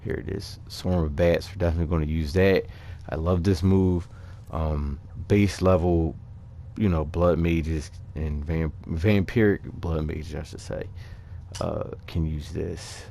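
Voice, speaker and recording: Low-voiced man casually talking through a game. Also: steady tone, creaky, warm tone. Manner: halting, thinking aloud. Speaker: gaming video creator. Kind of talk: casual unscripted game commentary.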